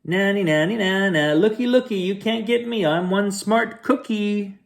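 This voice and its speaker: A man's voice: sits high, moderate pace, vocal fry, pitched above the performer's own voice. A man voicing a boastful little cookie who chants teasing rhymes in a sing-song way. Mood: taunting.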